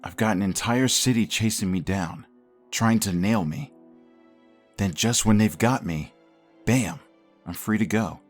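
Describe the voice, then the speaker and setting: Man playing a low-voiced, worried husband. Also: measured pace, long pauses, soft background music. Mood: bewildered frustration. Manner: earnest, uneasy. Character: worried, bewildered husband hounded by the city. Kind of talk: comic book audio drama acting.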